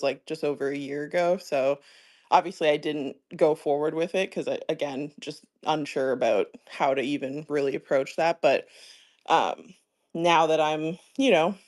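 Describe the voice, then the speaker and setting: A man hosting a live-streamed talk show, speaking hesitantly with many fillers as he thinks aloud about his plans. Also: on the high side. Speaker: show host. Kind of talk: casual conversation on a live stream.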